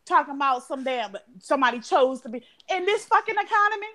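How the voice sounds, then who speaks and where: High-voiced, animated woman in her thirties. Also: fast. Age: 30s. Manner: animated, profane, laughs often. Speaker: podcast co-host. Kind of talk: casual chat.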